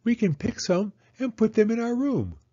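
A man's voice: high voice, very animated, normal speed, dark tone, dull audio, voice pushed up. A man voicing a sweet, eager, curious little girl mouse in a children's storybook, with earnest childlike questioning. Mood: hopeful.

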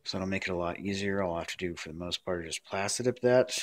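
A man's voice: low-pitched, fast talker. A man giving a casual hands-on craft tutorial, explaining step by step in plain words.

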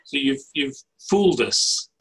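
A middle-aged man hosts an online workshop from a home study full of books. His voice is slightly husky. He moves the session along in a brisk, dry way. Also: mid-range voice, animated, a bit bright, low-quality recording. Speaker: workshop host. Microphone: laptop webcam on video call.

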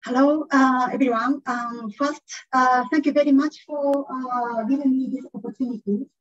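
High-pitched woman, english not her first language, lots of uhs, kinda dull lo-fi call audio. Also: steady tone, normal speed, no echo. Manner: hesitant, many fillers. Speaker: invited conference speaker. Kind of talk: online academic presentation. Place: indoor room.

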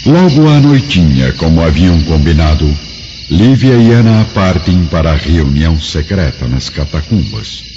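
A man's voice: low voice, very animated, unhurried, background noise. A man giving dramatic scripted narration as the narrator of an audiobook radio drama. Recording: muffled lo-fi audio under music.

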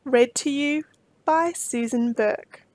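A woman in her forties hosting a children's storytime, reading a picture book aloud with expressive, deliberate delivery. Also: high voice, no room sound. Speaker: storytime host and reader.

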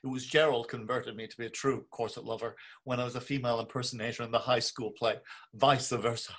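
A man's voice: mid-range voice, flat delivery, fast, fairly bright tone, lightly acted. An actor playing a meek, grovelling middle-aged man, pleading and theatrical, in a dramatic reading over a video call. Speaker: stage actor.

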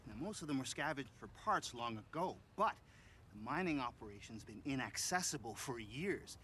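A man's voice: medium pitch, sharp tone, slight background noise. A man playing an earnest, precise older scientist in a video game, explaining things in technical terms. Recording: faint game ambience behind.

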